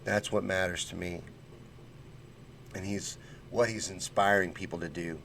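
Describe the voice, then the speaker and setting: A man's voice: low voice, steady tone, measured pace, gravelly, a bit bright, slight background noise. A man hosting a casual political livestream, reading chat comments and talking bluntly with fired-up conviction and folksy slang.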